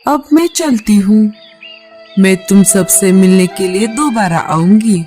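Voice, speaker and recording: Caring elderly forest grandmother acted by a woman, measured delivery, mid pitch, children's cartoon over soft music. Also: natural voice. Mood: kindly. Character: kind old grandmother living by a forest river.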